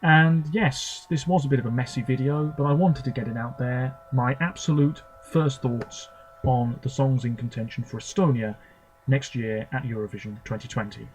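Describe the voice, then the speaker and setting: A man's voice: medium pitch, steady tone, normal speed, a little mellow, faint music behind, rough recording. A young man hosting his own video, giving short, hesitant first reactions to songs between clips. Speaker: video host reviewing contest songs. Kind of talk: casual off-the-cuff commentary.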